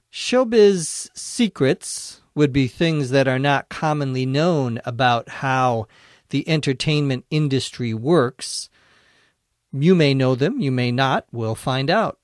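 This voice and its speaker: A man hosting an English-learning podcast, speaking slowly and deliberately, spelling out words letter by letter and slipping in dry jokes. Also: mid-range voice, dry recording. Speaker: English teacher and podcast host. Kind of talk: slow, clear ESL podcast explanation.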